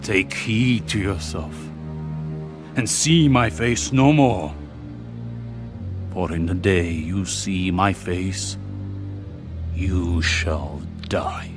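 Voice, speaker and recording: An actor playing a stern, angry Pharaoh in an audio Bible drama, giving weighty, threatening commands. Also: male voice, sits low, extremely slow, long pauses, over music. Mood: menacing anger.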